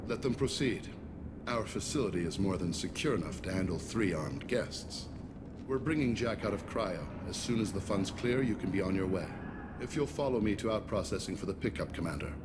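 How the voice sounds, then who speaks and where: An actor playing a stern, self-righteous alien warden of a space prison ship in a video game, speaking formally and with authority. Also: male voice, lowish voice, expressive, brisk, noisy background, close to an everyday voice. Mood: commanding, businesslike. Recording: loud music behind the voice.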